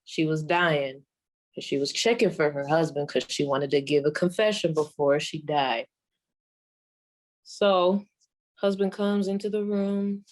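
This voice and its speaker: Sassy old female stand-up played by a woman, 1940s radio act, low-pitched, very unhurried, folksy deadpan storyteller. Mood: wry storytelling. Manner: folksy, deadpan, drawn-out delivery with deliberate pauses. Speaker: comedian performing a monologue.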